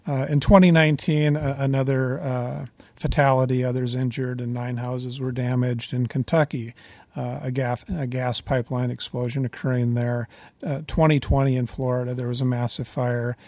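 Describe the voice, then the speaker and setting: A man speaking as a utility regulator at a public meeting, measured and formal, with frequent fillers and restarts. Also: medium pitch, level tone, darkish tone, dry recording.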